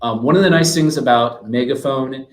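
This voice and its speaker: A man's voice: medium pitch, normal speed. A man speaking as a guest in a webinar, explaining things in a businesslike way. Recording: roomy, echoey sound.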